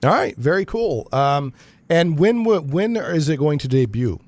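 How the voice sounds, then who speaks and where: A man's voice: a little high, big pitch swings, measured pace, a little mellow, dry recording. A man hosting a live geek talk radio show in a conversational, informal way.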